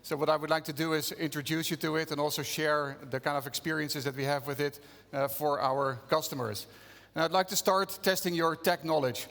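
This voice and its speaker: A man with a Dutch accent gives a conference talk and keeps it conversational by putting questions to the crowd. His voice sits fairly high for a man. The sound carries a long reverb from the large hall. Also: steady tone, brisk, fairly bright tone. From Netherlands. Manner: conversational, engages audience with questions. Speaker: conference speaker. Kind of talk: conference keynote presentation. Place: conference venue stage.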